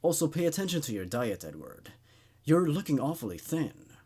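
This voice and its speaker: A man voicing a measured, curious male psychiatrist with a clinical manner in a horror story reading. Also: a little high, big pitch swings, mellow, slightly rough. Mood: calm, advising. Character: calm, probing male psychiatrist with secret obsession.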